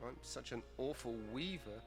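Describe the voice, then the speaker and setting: A man's voice: mid-range voice, expressive, normal speed, natural voice. An actor playing a bewildered young male weaver apprentice in a fantasy game drama, plaintive and wry. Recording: long reverb over music.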